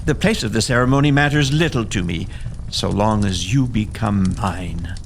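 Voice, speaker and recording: A man acting a devoted Victorian gentleman suitor in a period audio drama, earnest, romantic and emphatic. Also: lowish voice, normal speed, slight background noise, lightly acted. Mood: ardent.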